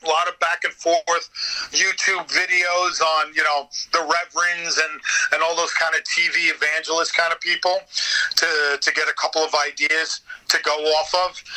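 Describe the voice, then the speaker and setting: A man's voice: on the high side, level tone, moderate pace, bright, forceful tone, poor audio. An American man, a voice actor, talking casually as an interview guest and telling anecdotes. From United States.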